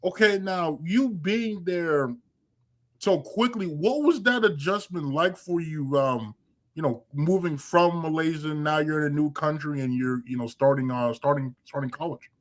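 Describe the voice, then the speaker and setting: A man's voice: fairly high pitch, a little slow. A young man hosting a podcast, chatting casually and rambling through his memories with many restarts. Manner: rambling, lots of fillers and restarts. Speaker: podcast host. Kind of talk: casual interview chat.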